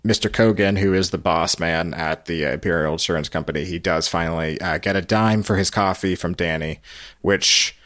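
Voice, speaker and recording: Low-voiced man recapping casually. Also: normal speed, fairly bright tone, dry recording, studio quality. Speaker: podcast host.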